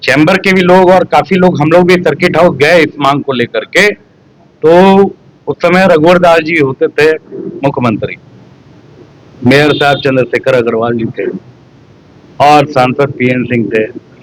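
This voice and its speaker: Higher-voiced man giving press interview. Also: a little slow, long pauses, low-quality recording. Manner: earnest, rhetorical, complaining about officials. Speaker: local activist interviewed by press.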